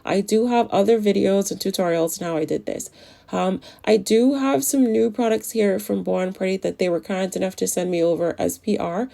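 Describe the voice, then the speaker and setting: Woman, gravelly mellow voice, casual nail tutorial chat, getting over a flu. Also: mid-range voice, moderate pace. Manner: chatty, enthusiastic about products. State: voice recovering from flu.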